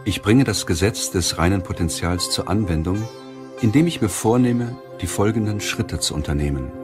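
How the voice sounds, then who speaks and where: A man reading a self-help audiobook as narrator, in measured, deliberate phrasing. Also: on the low side, music in the background.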